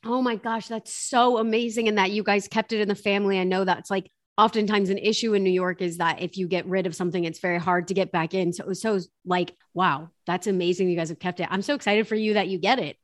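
A young adult woman hosting an interview, effusive and quick to call everything amazing. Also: mid-range voice, steady tone. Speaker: podcast host. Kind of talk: casual interview chat.

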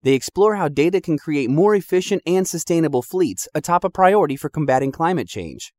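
A man reading a scripted introduction for an announcer segment in an even, read-aloud manner. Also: on the high side, brisk, dry recording, clean studio sound.

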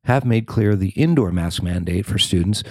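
A man's voice: low voice, expressive, moderate pace, no echo, studio quality. An American man reading a scripted news story as a podcast host and reporter.

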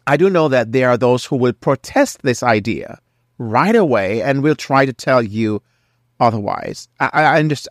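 A man's voice: mid-range voice, no echo, studio quality. A man with a head cold and a nasal voice, hosting a solo podcast with faith-based marriage advice and often stammering and repeating words in an earnest way. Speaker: podcast host.

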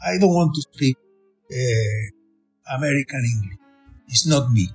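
A man's voice: medium pitch, animated, unhurried, a little mellow, faint music behind. An older man from Chile with a Chilean Spanish accent and Spanish as his first language, speaking emphatically in an oral history interview.

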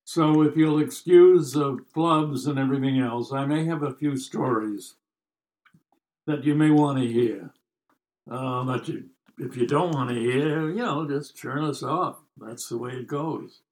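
Man, nineties, American accent, unhurried pace, middle-pitched, casual joking storytelling. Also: a little mellow. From United States. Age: 90s. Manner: wry, joking, rambling. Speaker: podcast guest telling life stories.

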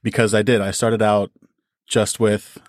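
A man's voice: lowish voice, flat delivery, measured pace, no echo, clean studio sound. A man speaking as a podcast guest, explaining things conversationally in an enthusiastic, articulate way. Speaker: storyteller and podcast creator.

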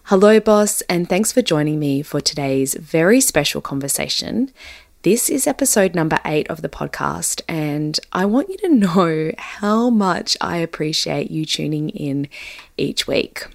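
A woman podcast host, hoarse and raspy from being unwell, talking candidly in a conversational way. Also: low-pitched, lively intonation, unhurried, dry recording, clean studio sound. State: hoarse from illness. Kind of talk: solo podcast monologue.

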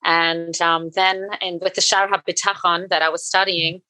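A middle-aged woman co-hosting a podcast, speaking in an earnest, enthusiastic way. Her voice sits a little low but has a bright, forceful edge. It is recorded dry and close, with no room sound. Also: level tone, normal speed, no echo, low-quality recording. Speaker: podcast co-host. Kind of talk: casual conversation.

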